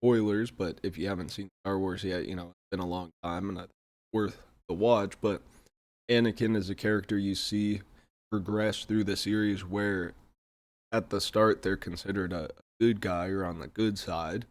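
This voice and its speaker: Low-voiced guy, kinda hoarse from being sick, rambling through his own podcast. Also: fairly even intonation, normal speed. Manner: reflective, rambling, many fillers. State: hoarse, recovering from a sore throat. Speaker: podcast host. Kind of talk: casual solo podcast talk.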